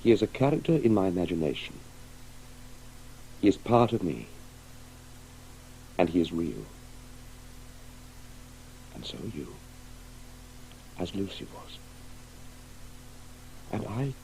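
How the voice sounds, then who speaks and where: An actor playing an anguished middle-aged father full of guilt and grief in a radio play, halting and repeating himself. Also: sits low, very animated, vocal fry, warm tone, heavily acted, rough recording. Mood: grieving, pleading. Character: anguished, guilt-ridden father grieving a lost woman. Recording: faint hiss of an old radio broadcast.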